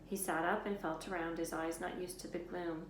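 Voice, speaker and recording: Low-pitched woman reading aloud in an echoey room. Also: flat delivery, normal speed. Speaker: read-aloud storyteller. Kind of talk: expressive children's novel narration. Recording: roomy echo, faint background noise.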